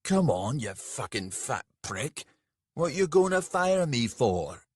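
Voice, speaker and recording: A man voicing a surly, blunt servant who talks slowly and gruffly. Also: medium pitch, dry recording, studio quality, pitched above the performer's own voice, heavily acted. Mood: angry. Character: surly working-class male servant, blunt and menacing.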